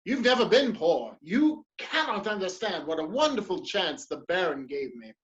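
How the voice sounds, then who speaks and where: A woman's voice: lowish voice, big pitch swings, normal speed, highs cut off. A woman playing a proud, ambitious male politician in a dramatic play reading, speaking with theatrical emphasis and defiance. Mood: earnest, fervent.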